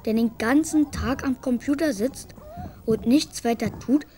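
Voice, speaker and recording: A woman's voice: a little high, measured pace, a little mellow, a little hiss or hum, close to an everyday voice. A woman playing a sulky, exasperated young boy's inner voice in a German children's radio drama. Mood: grumbling.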